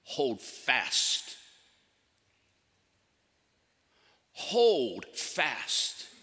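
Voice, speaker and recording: A man performing a theatrical monologue as a solemn, commanding ancient prophet, speaking deliberately with dramatic pauses. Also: mid-range voice, huge pitch swings, sharp tone, breathy edge, exaggerated voice. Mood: urgent, encouraging. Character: prophet Isaiah, solemn, commanding, counseling a fearful king. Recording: echoey hall with long reverb.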